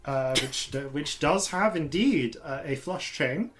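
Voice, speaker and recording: A man with an RP accent that slips toward Yorkshire, narrating a tabletop game as its dungeon master. His voice sits a little high for a man, and he describes scenes in a halting way, full of "uh" and "like". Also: animated, background noise. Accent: RP with Yorkshire traces.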